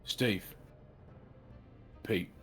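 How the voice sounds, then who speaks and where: A man's voice: very slow, fairly bright tone, soft background music. A man playing a tabletop roleplaying game, speaking in character in a laconic, understated, hesitant way.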